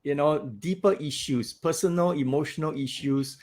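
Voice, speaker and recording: A man hosting a podcast, talking in a loud, animated way and laughing often. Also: a little high, moderate pace. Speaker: podcast host. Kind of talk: casual interview chat.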